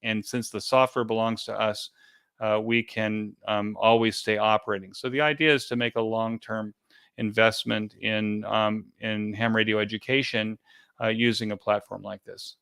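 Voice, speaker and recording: A man with a clear, smooth voice answers as a guest in a conversational interview. He explains things haltingly, pausing and repeating words as he goes. The sound is dry, with very little room in it. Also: fairly low pitch, flat delivery, fairly bright tone, no room sound. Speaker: interview guest, event organizer.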